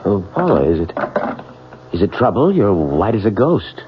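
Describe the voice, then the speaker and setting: An actor playing a kindly, concerned office boss in an old radio drama, with a measured, slightly halting, reassuring manner. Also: male voice, on the low side, very animated. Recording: muffled old radio recording, faint noise.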